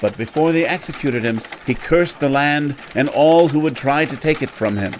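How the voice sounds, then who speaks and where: A man playing a guide who tells grim local history in a measured, grave storytelling way in an audio drama. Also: a little high, slight background noise, close to an everyday voice.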